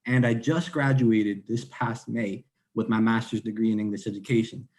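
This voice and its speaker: A man in his twenties, a high school English teacher, introducing himself fluently and upbeat on a video call. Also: fairly even intonation, normal speed, darkish tone.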